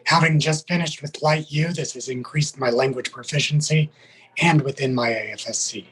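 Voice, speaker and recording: A man's voice: mid-range voice, moderate pace, a bit bright, slightly rough, poor audio. A man speaking as a military sergeant and interview guest, giving prepared answers in a formal, careful, earnest manner.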